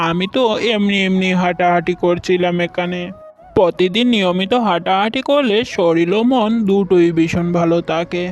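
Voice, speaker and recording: A sly, greedy cartoon fox played by a voice actor, speaking at an unremarkable middle pitch. The manner is wheedling and falsely chummy, with a schemer's edge. Music plays behind the voice. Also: close to an everyday voice. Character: sly, greedy egg-thieving fox uncle.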